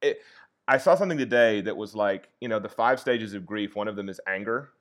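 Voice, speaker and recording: Man, history teacher guest, soothing voice, lowish pitch, earnest and reflective. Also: brisk, a bit bright. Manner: earnest and reflective, many restarts and fillers. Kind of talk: casual interview conversation.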